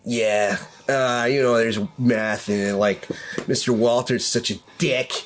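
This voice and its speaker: Woman playing a slangy low-voiced teenage dude. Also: fairly even intonation. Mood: lazy, grumbling. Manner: slouchy dude-speak, drawn-out hesitations. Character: slangy teenage schoolboy, swaggering eighties dude.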